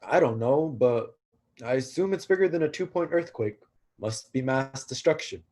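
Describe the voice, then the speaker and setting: A man playing an awkward, downcast young god of destruction in a staged reading, husky and hesitant. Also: medium pitch, lively intonation, normal speed, a little mellow, natural voice. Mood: glum. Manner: hesitant, self-conscious. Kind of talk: live staged play reading.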